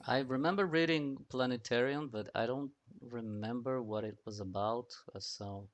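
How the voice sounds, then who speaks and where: A man's voice: medium pitch, moderate pace, highs cut off. A man hosting a casual podcast discussion, putting questions and chatting informally. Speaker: podcast host.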